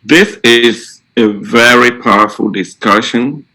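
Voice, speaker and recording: A man, a teacher, telling colleagues an unscripted story in a halting, deliberate way. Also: medium pitch, sharp tone, rough recording.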